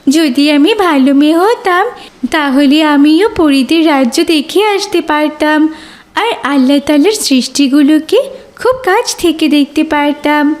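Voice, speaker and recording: A woman's voice: high-pitched, measured pace. A woman voicing an earnest, naughty but remorseful little girl in a children's cartoon. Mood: regretful, reflective.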